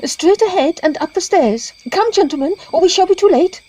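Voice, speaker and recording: A woman playing a brisk Edwardian lady medium in a period audio drama, speaking in clipped phrases. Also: very high-pitched, animated, warm tone, echoey room. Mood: urgent, directing.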